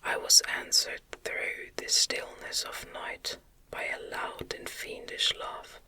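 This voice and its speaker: A woman softly reading a gothic novel aloud as ASMR, in a breathy, gentle, soothing whisper. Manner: gentle, soothing, deliberate storytelling. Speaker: ASMR narrator reading an audiobook.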